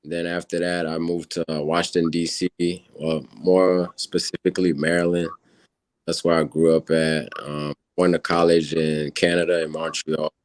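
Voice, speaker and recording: Senegalese man in his 30s, Wolof native, deep and dry; easygoing guest, rambling. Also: moderate pace, no echo. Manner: relaxed, rambling with many fillers. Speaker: guest musician. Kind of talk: casual interview chat.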